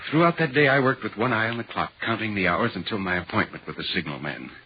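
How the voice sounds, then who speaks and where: An actor playing a sober, uneasy gentleman in a ghost story, with brooding, literary 1940s radio delivery. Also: lowish voice, lively intonation, fast, breathy edge. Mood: brooding, haunted. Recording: muffled, scratchy 1940s radio recording.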